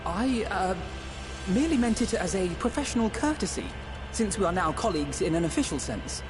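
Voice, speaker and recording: A man's voice: sits high, big pitch swings, brisk. Man acting polite, earnest young lordling over music. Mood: polite, awkward. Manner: courteous, formal. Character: polite, earnest teenage noble boy. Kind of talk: video game cutscene acting. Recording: music behind the voice.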